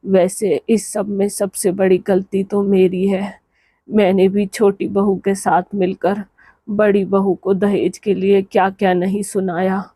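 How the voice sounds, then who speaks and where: Woman playing older mother-in-law, cartoon drama, scolding, status-minded, mellow tone, very dry recording. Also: medium pitch, flat delivery, a little slow, husky, dry recording. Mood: reproachful. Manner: reproachful, scolding. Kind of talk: Hindi cartoon moral-story dialogue.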